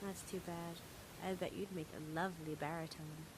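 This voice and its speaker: A woman's voice: fairly low pitch, steady tone, normal speed, a little hiss or hum, lightly acted, low-quality recording. An actress playing an eager, childlike young woman in a radio drama.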